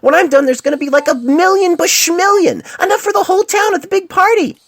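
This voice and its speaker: A woman's voice: extremely high pitch, brisk, voice pushed up. A woman voicing a hyper, bubbly young female pony who gushes and rambles with childlike exaggeration in a fan fiction story. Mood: bubbly, gushing. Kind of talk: fan fiction audio story, letter read aloud. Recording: noisy amateur cell phone recording.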